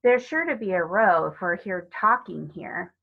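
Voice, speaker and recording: A woman's voice: mid-range voice, animated, normal speed, vocal fry, highs cut off. A woman voicing a timid, anxious little girl in a storybook, worried and hesitant.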